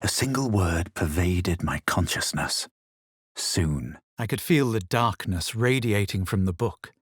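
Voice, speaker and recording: A man playing a haughty, self-assured young adventurer in a fantasy video game, speaking in a measured, theatrical way. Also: low-pitched, animated, breathy edge, no room sound, clean studio sound, full character voice. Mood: brooding, measured. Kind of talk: dramatic video game voice lines and narration.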